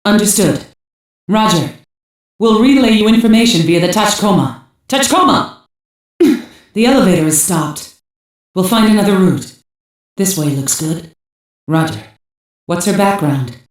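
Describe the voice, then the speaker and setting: A woman's voice: mid-range voice, lively intonation, normal speed, pauses often, far from the mic, close to an everyday voice. A woman playing a cool, authoritative cyborg special-operations commander in an English-dubbed anime game, clipped and composed. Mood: commanding, urgent, then resolute. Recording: roomy heavy echo, off mic.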